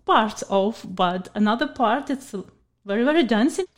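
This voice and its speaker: A woman's voice: a little high, expressive, moderate pace. Ukrainian-accented woman radio host, eager. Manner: broken English, eager and effusive. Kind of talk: radio interview.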